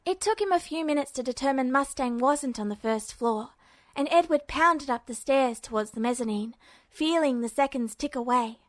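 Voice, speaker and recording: A woman reading a fan fiction audiobook with steady, even storytelling. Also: high-pitched, normal speed, no echo. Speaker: podfic reader.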